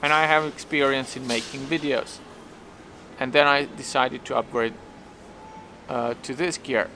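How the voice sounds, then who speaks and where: A man's voice: medium pitch, fairly even intonation, measured pace, bright, forceful tone, a little hiss or hum, poor audio. A young man with a Serbian accent talking to camera in a hesitant, self-questioning way, often restarting his sentences, recorded outdoors while waiting for a taxi. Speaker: vlogger. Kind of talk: casual thinking-aloud vlog.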